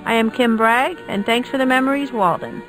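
A woman reading a scripted radio announcement, deliberately spelling out details. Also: fairly high pitch, over music, poor audio.

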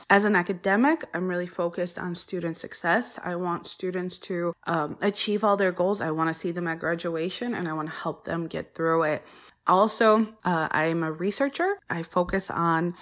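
Woman host, earnest, rambling solo intro, low-ish voice. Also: moderate pace, fairly bright tone. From United States. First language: Polish. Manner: earnest, chatty, frequent ums and side-tracks. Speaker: podcast host.

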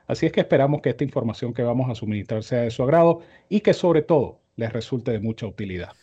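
A man giving horse racing analysis as co-host, measured and explanatory with formal phrasing, on slightly dull audio. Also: mid-range voice, lively intonation, mellow, husky. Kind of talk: racing analysis and tipping commentary.

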